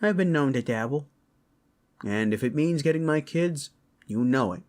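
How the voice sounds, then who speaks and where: A man's voice: medium pitch, expressive, normal speed, darkish tone, natural voice. A man voicing a hot-tempered, vengeful father and roguish paladin, brash and blunt, in a fantasy audio roleplay. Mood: cocky. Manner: brash, blunt, foul-mouthed.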